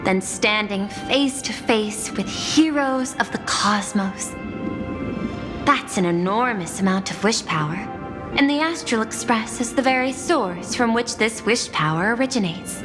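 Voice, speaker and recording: A woman playing a poised, persuasive executive in a video game, formal and measured, with grand confidence. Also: a little high, lively intonation. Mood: grand, confident. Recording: long reverb, music behind.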